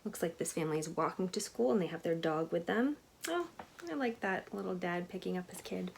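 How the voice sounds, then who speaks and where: A woman reading a picture book aloud to children in an expressive way, with chatty asides to her young listeners. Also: on the low side, fairly quick, creaky, slight background noise. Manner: animated, expressive storytelling with questions to listeners.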